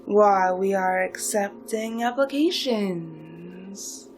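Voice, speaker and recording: A woman playing a conspiratorial, seductive supervillain recruiter in a theatrical roleplay, speaking with dramatic pauses and hushed, breathy passages. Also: mid-range voice. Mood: conspiratorial, enticing. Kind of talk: slow theatrical roleplay monologue, partly whispered. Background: music.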